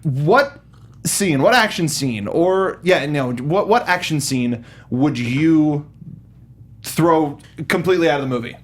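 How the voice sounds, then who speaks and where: Guy cohosting a movie chat, kinda high voice, super lively, rambles and repeats himself. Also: slow pace. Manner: rambling, repeats words, acts out mock dialogue. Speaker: film review show host. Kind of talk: casual panel discussion.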